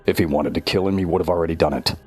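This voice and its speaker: A man narrating a scripted horror story in a measured, dramatic way. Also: low-pitched, darkish tone, slightly rough, soft background music.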